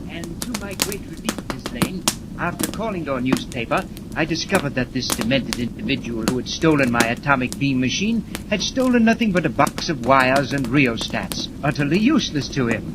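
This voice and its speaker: Scientist male actor, assured and measured, medium pitch, explanatory old-time radio delivery with audible noise. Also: very animated, close to an everyday voice. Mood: assured, explaining. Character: confident, precise male scientist and inventor. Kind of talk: 1940s radio acting. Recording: noisy vintage 1940s radio recording.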